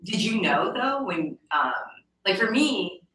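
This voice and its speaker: A woman's voice: mid-range voice, big pitch swings, slow pace, sharp tone, far from the mic. A woman hosting a casual podcast interview, speaking in an informal, chatty way and often checking in with "right?". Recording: roomy echo, off the mic.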